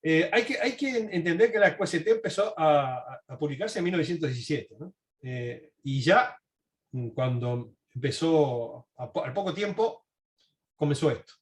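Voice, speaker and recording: A man giving a history presentation, reading and commenting in a halting, self-correcting way. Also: fairly high pitch, animated. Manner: halting, with frequent self-corrections and throat clearing. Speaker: lecturer. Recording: echoey, roomy sound.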